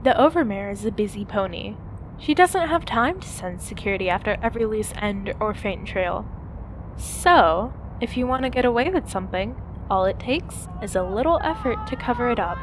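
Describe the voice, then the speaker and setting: A woman voicing a cynical pony survivor, narrating a post-apocalyptic radio play in a measured, dramatic first-person style. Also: mid-range voice, lively intonation, a little hiss or hum, natural voice, low-quality recording. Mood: wry, matter-of-fact. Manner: measured, dramatic storytelling with rhetorical questions. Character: cynical female pony survivor raised in an underground bunker. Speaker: narrator and main character.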